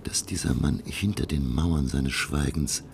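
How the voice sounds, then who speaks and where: An actor playing a curious, awestruck male scholar who narrates his undersea voyage in a hushed, deliberate, gravelly voice for a radio play. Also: a bass voice, a little hiss or hum. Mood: hushed wonder.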